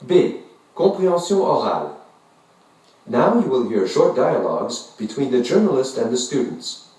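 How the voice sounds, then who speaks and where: Man, scripted lesson narrator; slow, lively intonation, echoey distant recording. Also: medium pitch. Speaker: textbook audio narrator. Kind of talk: scripted language-lesson instructions. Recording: echoey, distant textbook audio.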